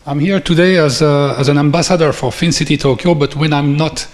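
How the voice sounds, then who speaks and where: A middle-aged man from Belgium with a Belgian accent, giving a formal closing speech at a conference in measured, orderly phrases. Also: a little high, fairly even intonation, a little mellow. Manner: measured, formal delivery with listed points. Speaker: conference speaker. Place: large conference hall. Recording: long reverb of a conference hall.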